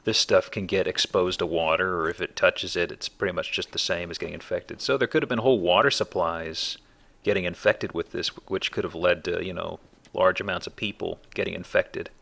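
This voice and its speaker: A man's voice: sits low, fairly even intonation, brisk, vocal fry, fairly bright tone. A man hosting a let's play, giving casual commentary on a video game.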